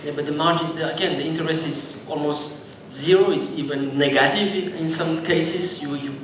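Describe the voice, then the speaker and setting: A man moderating a conference panel who puts brisk, prompting questions to the panelists. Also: on the high side, fairly even intonation, fairly bright tone, a little hiss or hum. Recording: roomy hall, distant mic.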